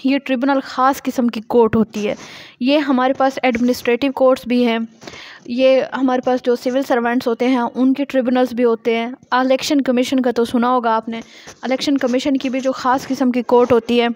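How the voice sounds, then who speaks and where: A woman's voice: sits high, flat delivery, a little fast, a little mellow, no echo. A woman with a Pakistani accent giving a lecture, reading out passages and explaining them in a didactic way, often asking and answering her own questions. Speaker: law lecturer.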